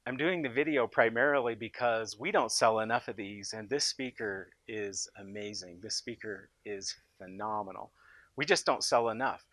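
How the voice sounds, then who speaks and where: An American man giving an informal, unscripted product pitch as a company boss, speaking with enthusiasm and emphasis. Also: bright, forceful tone, no echo. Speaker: company founder presenting his loudspeaker in a product demo.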